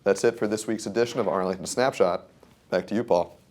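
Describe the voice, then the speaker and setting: A man's voice: lowish voice, brisk, heavy background noise. An American man reading a scripted local news report as a reporter.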